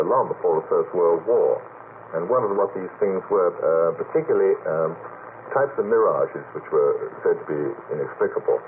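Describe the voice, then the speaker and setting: A man speaking as a radio panel guest, discursive and formally worded in conversation. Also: deep voice. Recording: tinny old radio broadcast, faint noise.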